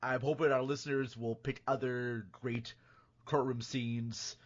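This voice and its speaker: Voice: mid-range voice, fairly even intonation, unhurried. Casual podcast host, slightly muffled sound. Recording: dull lo-fi audio.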